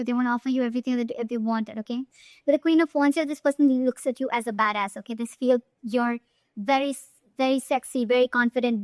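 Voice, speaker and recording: A woman's voice: high-pitched, no room sound. A woman giving an informal tarot reading straight to the viewer, speaking emphatically and often checking in with short tag questions. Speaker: tarot reader.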